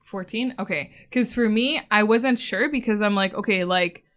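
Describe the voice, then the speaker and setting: A young Canadian woman chatting loosely on a podcast. She rambles, laughs and works things out as she goes, in a voice of middle pitch. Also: moderate pace. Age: 20s. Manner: rambling, laughing, thinking aloud. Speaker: podcast co-host. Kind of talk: casual podcast chat.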